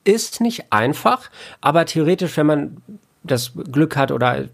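A man, an audio producer talking as an interview guest, explaining things in a halting way and repeating words as he thinks. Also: on the high side, very animated, gravelly. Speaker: audio producer and sound engineer, podcast guest.

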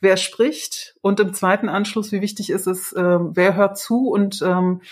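A woman's voice: medium pitch, fairly even intonation, a little slow. A woman hosting a podcast discussion in a conversational, reflective way, circling back to her questions. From Turkey connection. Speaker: podcast host. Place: indoors, seated beside co-host.

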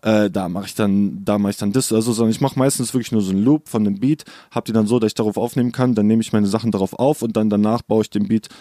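A man's voice: on the low side, fairly even intonation, fast, few pauses, dark tone, no room sound, clean studio sound. A young man, a rapper and producer, chatting casually and rambling in slang in an interview. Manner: slangy, rambling, says "Digga" a lot.

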